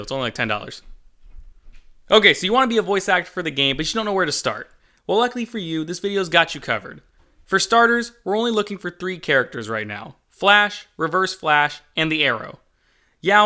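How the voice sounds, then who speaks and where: A man's voice: a little high, pushed and bright. A man hosting as a game developer, giving upbeat scripted video narration with an enthusiastic, jokey manner. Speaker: game developer and channel host.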